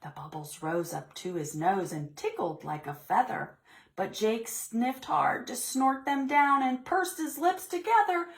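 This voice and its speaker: A woman reading a storybook aloud to preschoolers in an expressive, theatrical, deliberate way. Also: on the high side, creaky. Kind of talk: expressive storybook read-aloud for young children.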